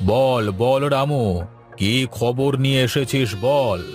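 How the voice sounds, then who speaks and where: A man playing a sorrowful, gently commanding father and master in a melodramatic cartoon, speaking earnestly. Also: mid-range voice, steady tone, takes it slowly, faint music behind, natural voice. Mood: anxious.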